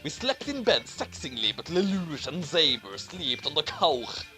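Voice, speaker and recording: A man's voice: fairly high pitch, sing-song, measured pace, over loud music. A man narrating a comedic story in a dramatic, theatrical reading style.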